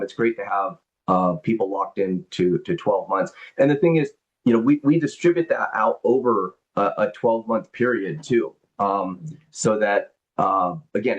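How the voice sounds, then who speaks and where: Low-voiced man rambling on a dull call. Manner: rambling, many fillers and repeated words. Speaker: company leader hosting a members' call. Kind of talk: business presentation and Q&A on a group call. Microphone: conference call.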